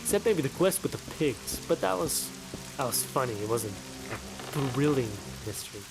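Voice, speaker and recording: A man giving casual gameplay commentary, thinking out loud and reacting as he plays. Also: mid-range voice, expressive, normal speed, over music, rough recording. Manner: musing aloud, reacting to the game. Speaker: let's player.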